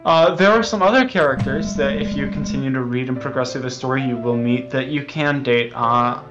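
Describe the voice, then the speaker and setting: Earnest, halting man with slightly dull sound. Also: mid-range voice, over music. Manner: earnest, self-serious, halting. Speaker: game developer being interviewed. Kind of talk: mock interview.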